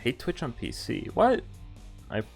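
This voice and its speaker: A man's voice: medium pitch, huge pitch swings, unhurried, vocal fry. A man streaming a game, reading visual novel text aloud and adding casual, joking commentary. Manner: amused, joking asides. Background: game music.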